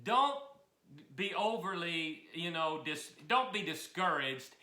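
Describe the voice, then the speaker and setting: High-voiced folksy older man in a roomy cabin. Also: expressive, slow, pushed and bright. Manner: folksy, chatty, self-deprecating. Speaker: music teacher and host. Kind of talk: casual instructional chat. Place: mountain cabin room. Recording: roomy echo. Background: old piano.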